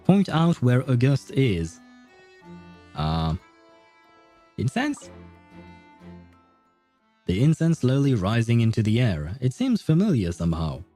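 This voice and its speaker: A man's voice: mid-range voice, huge pitch swings, slow, long pauses, warm tone, faint music behind. A man livestreaming a video game, chatting casually and in a friendly, rambling way as he comments and reads dialogue aloud. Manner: chuckles often, rambling and friendly.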